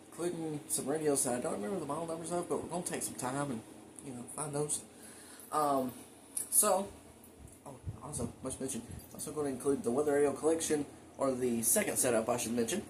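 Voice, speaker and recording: A man hosting a casual home show-and-tell video, speaking informally and a little haltingly with enthusiastic asides. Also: medium pitch, a little hiss or hum. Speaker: hobbyist video creator and host. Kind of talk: casual show-and-tell narration of a radio collection. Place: indoors at home.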